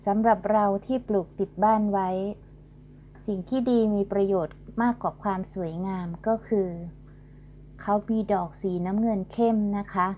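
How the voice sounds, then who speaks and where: Gentle middle-aged woman touring her garden. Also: mid-range voice, fairly even intonation, moderate pace. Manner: gentle, explanatory, polite. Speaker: garden channel host. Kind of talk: casual garden tour narration. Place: outdoor garden. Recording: dull lo-fi sound with faint hum.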